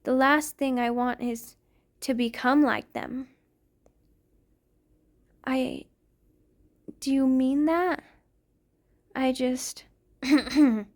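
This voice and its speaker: A woman playing a centuries-old vampire queen, tender, protective and remorseful, speaking softly and formally in an intimate ASMR roleplay. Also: sits high. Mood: apologetic, tender. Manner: soft, formal, confessional, hesitant phrasing.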